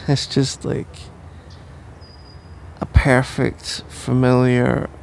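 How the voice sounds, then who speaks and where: A man's voice: mid-range voice, completely flat delivery, very slow, vocal fry, slight background noise. A man playing a sleepy, cuddly husband in an intimate ASMR roleplay, drowsy, affectionate and rambling, with playful melodrama. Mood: tender, content.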